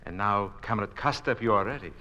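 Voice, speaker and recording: An actor playing a smug, cruelly polite communist boss who gloats with mock courtesy, in 1950s radio drama style. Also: male voice, fairly low pitch, fast talker, sharp tone, highs cut off. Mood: mock-courteous, menacing.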